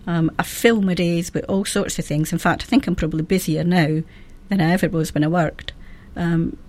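A woman in her seventies with a soft Scottish Fife accent, chatting as a guest on local radio. Her voice sits a little low, and she pauses often with small ums and laughs between her thoughts. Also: fairly even intonation. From Scotland. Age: 70s. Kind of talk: radio interview.

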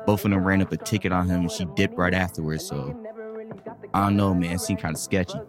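A low-pitched, cocky guy, played by a man in an audio drama, boastful yet wary and skeptical. His talk is slangy and conversational, with music clearly audible behind it. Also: steady tone, fast, close to an everyday voice. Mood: casual, wary. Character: guarded, cocky, skeptical single guy playing pool.

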